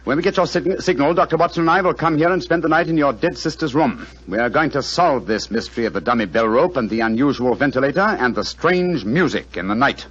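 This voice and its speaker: A man playing an incisive, lively-voiced British detective. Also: medium pitch, lightly acted. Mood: commanding. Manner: clipped, incisive, deliberate delivery. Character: keen, commanding male detective, grave and analytical. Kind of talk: 1940s radio acting. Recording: muffled 1940s radio recording.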